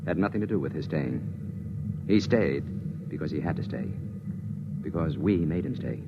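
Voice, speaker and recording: An actor playing a grave, commanding space captain in a 1950s radio drama. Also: male voice, very deep voice, lively intonation, measured pace, heavily acted. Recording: noisy, dull 1950s radio recording.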